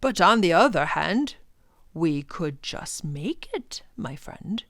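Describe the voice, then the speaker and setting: A woman voicing a polite, easygoing male professor in a storybook, quietly reasoning and determined. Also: fairly low pitch, very animated, takes it slowly, dry recording, clean studio sound, exaggerated voice. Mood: calm.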